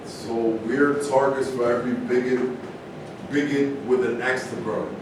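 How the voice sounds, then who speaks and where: A man's voice: steady tone, a little slow, lightly acted. A man acting in a staged reading as a brash, unapologetic ex-boxer in conversational dialogue. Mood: dismissive. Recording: very echoey room, distant, rumbling noise.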